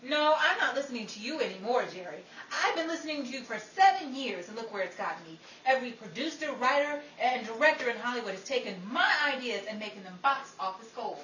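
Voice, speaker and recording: An excitable Hollywood starlet of the fifties , acted by a woman, with a high, very bright voice. She talks in emphatic, theatrical old-time radio style, aggrieved and full of herself, heard from a distance in a roomy recording. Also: fast, hardly any pauses. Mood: indignant, dramatic. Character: Betty, excitable, aggrieved 1950s Hollywood actress full of movie ideas.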